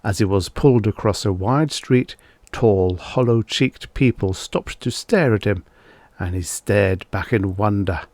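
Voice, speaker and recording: A man reading a story aloud as an audiobook narrator, in a measured, deliberate manner. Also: fairly low pitch, darkish tone, no echo.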